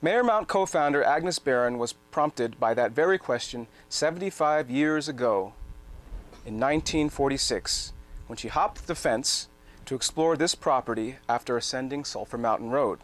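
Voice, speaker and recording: A man serves as narrator for a live dramatic reading. His voice is medium in pitch and moves up and down freely, delivering scripted history in a measured storytelling way. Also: lively intonation.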